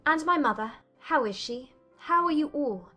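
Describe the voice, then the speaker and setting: A woman playing an earnest, thoughtful young Regency gentlewoman in a dramatized audiobook, speaking in measured, formal phrases. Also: high voice, animated, faint music behind. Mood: concerned.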